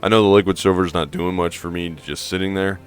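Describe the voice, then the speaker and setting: Super deep voiced guy, hoarse from allergies, just rambling about his game, faint music under it. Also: steady tone, fast talker. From American Midwest. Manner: rambling, thinking aloud with fillers. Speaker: gaming streamer. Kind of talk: casual gameplay commentary.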